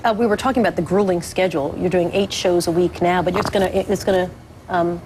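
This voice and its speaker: A woman's voice: fairly low pitch, steady tone, quick pace, noisy background. A woman hosting a TV morning show, asking direct follow-up questions. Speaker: TV news anchor.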